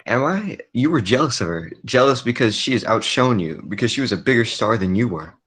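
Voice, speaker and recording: A man playing a quiet, lovelorn but stubborn circus man in a 1940s radio drama, hesitant and repeating himself. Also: mid-range voice, expressive, brisk, breathy edge. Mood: accusing.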